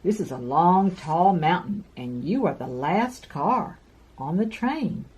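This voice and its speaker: A woman voicing an alarmed railway flat car calling out warnings in a children's storybook. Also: lowish voice, slow pace, breathy edge, full character voice. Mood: urgent warning. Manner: urgent, calling out.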